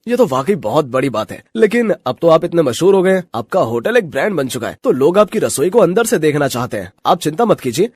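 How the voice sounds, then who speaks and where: A man voicing a flattering, smooth-talking conman who poses as a reporter in a cartoon story. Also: a little high, no room sound, clean studio sound. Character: slick, flattering male conman posing as reporter. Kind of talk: dramatized cartoon story dialogue.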